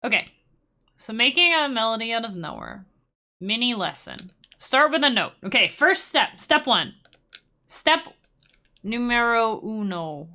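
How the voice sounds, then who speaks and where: A woman's voice: on the high side, very animated, measured pace, long pauses, bright, forceful tone. A young woman casually teaching music-making on a live stream, rambling and self-deprecating with playful asides.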